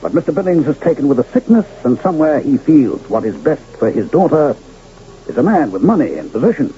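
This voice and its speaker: A man's voice: a little high, big pitch swings, brisk, mellow. An actor playing a sly, genial older carnival showman in a radio drama, with theatrical, courtly, florid diction. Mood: kindly, scheming. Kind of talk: old-time radio drama acting. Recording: muffled, noisy vintage radio broadcast.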